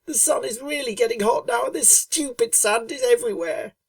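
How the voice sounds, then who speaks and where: A woman voicing a brash, impatient young female pegasus pony who complains loudly and whines. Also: fairly high pitch, brisk, creaky, no room sound, recorded from a distance, pitched above the performer's own voice. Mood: irritable. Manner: whiny, loud, complaining.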